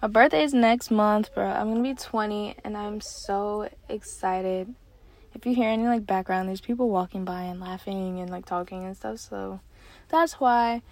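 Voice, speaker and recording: A nineteen-year-old woman hosting her own podcast, chatting casually and excitedly with lots of fillers from a parked car. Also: mid-range voice, normal speed, no room sound. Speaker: podcast host. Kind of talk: casual solo podcast chat.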